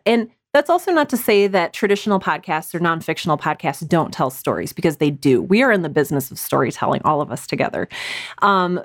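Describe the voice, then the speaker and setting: A woman's voice: lowish voice, dry recording, clean studio sound. An American woman giving conversational interview answers as a podcast guest, explaining things with enthusiasm and emphasis. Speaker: guest, audio drama podcast creator.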